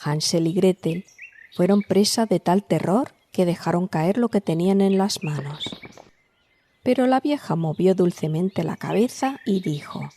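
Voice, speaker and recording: A woman narrating a scripted fairy tale as a storyteller, in a measured, dramatic way. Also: fairly low pitch, mellow, a little hiss or hum.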